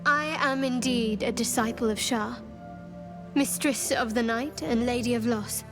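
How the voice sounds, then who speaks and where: A secretive young cleric of a dark goddess in a video game cutscene. Her voice sits fairly high, and she speaks with measured reserve and dry wit. Music plays clearly behind her. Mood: guarded, wry. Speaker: video game companion character.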